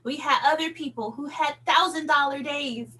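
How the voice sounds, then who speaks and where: A woman's voice: sits high, moderate pace, pushed and bright. A woman speaking as a sales director on a team call, giving a motivational talk in an encouraging, conversational and emphatic manner. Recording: noticeable background noise, hum.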